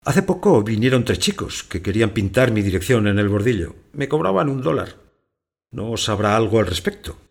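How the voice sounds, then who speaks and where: An actor playing a wary householder who narrates his own story in a scripted audio drama, in a measured, observant way. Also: on the low side, sing-song, creaky. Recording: echoey room sound, music behind.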